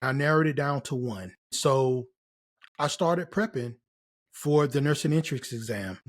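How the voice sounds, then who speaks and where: A man's voice: medium pitch, measured pace. A middle-aged American man speaking casually and encouragingly as a host and mentor, emphatic and given to repeating key phrases. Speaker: host, nursing career mentor. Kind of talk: casual motivational advice to camera.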